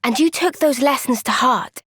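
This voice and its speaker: A woman playing an earnest, gentle young female soldier in a video game cutscene, speaking with measured, sincere resolve. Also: high-pitched, breathy edge, no echo, clean studio sound. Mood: thoughtful, earnest.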